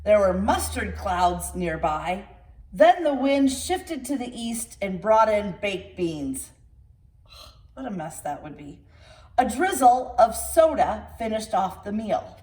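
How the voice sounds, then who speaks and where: A woman reading a picture book aloud to children in an expressive storytime style, with playful asides to her young listeners. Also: medium pitch, slow. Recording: roomy echo, distant microphone.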